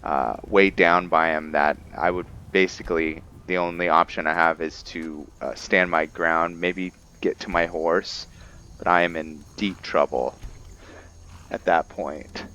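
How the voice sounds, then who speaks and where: Casting: male gamer, low-pitched voice, casual play-by-play, thinking aloud, faint noise and crickets behind. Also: fairly even intonation, a little slow, bright, forceful tone, lo-fi sound. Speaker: gamer narrating roleplay playthrough. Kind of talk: casual game commentary.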